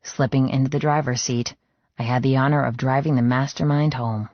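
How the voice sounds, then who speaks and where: A man's voice: mid-range voice, steady tone, a little fast, no echo, muffled recording. A man narrates fiction in the first person, reading a dramatic script in a mid-range voice. The sound is close and dry, with a slightly dulled top end. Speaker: audiobook narrator.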